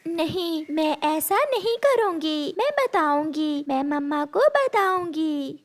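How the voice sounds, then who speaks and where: A woman's voice: high-pitched, sing-song, slow pace, voice pushed up, exaggerated voice. A woman voicing a sensible, honest little girl bird in a children's cartoon, polite and earnest. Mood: earnest, firm. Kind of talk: children's cartoon story voice acting.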